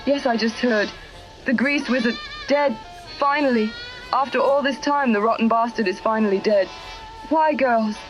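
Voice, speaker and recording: A woman's voice: a little high, normal speed, lightly acted. A woman playing a defiant, scheming den mother of a nightclub in a parody radio drama. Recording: muffled old-radio sound with music.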